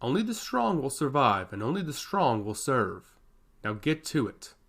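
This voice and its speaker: A man's voice: mid-range voice, sing-song, normal speed, a bit bright. A man voicing a harsh, solemn merman commander who looks down on his recruits in a fantasy audio story. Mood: firm command. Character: stern, contemptuous merman commander drilling recruits.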